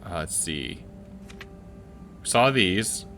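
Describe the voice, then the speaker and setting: Male gamer, low voice, bright edge, casual play-along commentary, self-directed mutters, faint game music. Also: expressive, extremely slow. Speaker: gaming video host. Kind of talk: casual let's play commentary, reading game text aloud.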